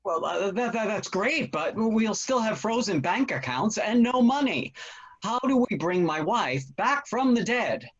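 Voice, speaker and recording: A man's voice: high voice, big pitch swings, a little slow, slightly rough, full character voice. An actor playing a worried but courteous older husband and father in a table read. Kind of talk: stage comedy-drama table read. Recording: dull lo-fi online call audio.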